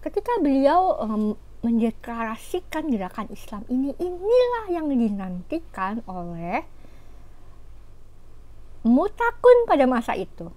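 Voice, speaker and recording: Lively woman explaining scripture. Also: high voice, measured pace, low-quality recording. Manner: explanatory, animated, with fillers and rhetorical questions. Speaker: religious teacher. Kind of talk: informal religious talk.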